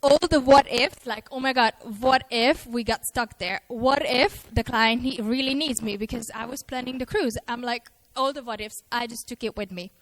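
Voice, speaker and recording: Lively woman speaker on a handheld mic. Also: on the high side, moderate pace, hardly any pauses, dry recording, rough recording. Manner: lively, self-mocking, acts out her own inner worries. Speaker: conference speaker. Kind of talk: motivational stage talk. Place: festival stage before a live audience.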